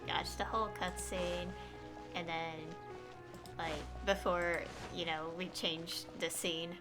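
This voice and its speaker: A woman giving casual live game stream commentary in a halting, self-conscious way. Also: fairly low pitch, little pitch movement, music in the background.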